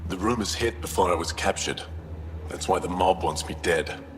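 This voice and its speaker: An actor playing a bitter, brooding alien traitor behind bars in a video game, resigned yet defiant. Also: male voice, low-pitched, moderate pace, a little hiss or hum, natural voice. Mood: guarded, bitter. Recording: long reverberant hall echo.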